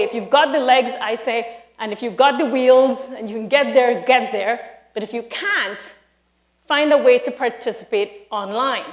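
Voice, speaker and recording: A woman with a Trinidadian accent speaks from a stage, lively and emphatic, talking straight to her audience. Her voice sits a little high. The hall around her gives a roomy echo. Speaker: speaker, university lecturer. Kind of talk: live conference talk. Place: large hall, live audience.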